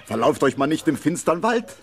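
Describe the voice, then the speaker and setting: A jovial old-fashioned forester in a children's radio play. His voice leaps high and swoops as he chuckles and fumbles genially. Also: big pitch swings, brisk, soft background music.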